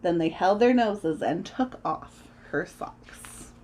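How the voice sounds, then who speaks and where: A woman reading a children's story aloud in an expressive, deliberate way, as a storyteller acting out the sound words. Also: lowish voice.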